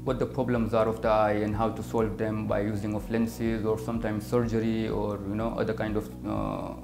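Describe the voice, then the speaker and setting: Kenyan man, 30s, optician, low voice, measured, many fillers, echoey room. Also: monotone, vocal fry. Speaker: optician and business owner. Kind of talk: interview answers. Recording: echoey room with music behind.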